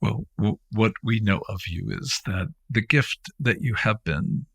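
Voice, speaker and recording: Husky, gravelly-voiced male podcast host. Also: on the low side, dry recording, clean studio sound. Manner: rambling, halting, many restarts, earnest. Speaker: podcast host, founder of the center. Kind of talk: warm conversational podcast interview.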